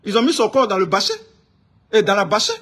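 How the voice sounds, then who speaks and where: A man from Ivory Coast with an Ivorian accent and a husky voice, delivering an informal, indignant political rant, emphatic and repeating his phrases insistently. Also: high-pitched, animated, quick pace, a bit bright. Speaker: online political commentator.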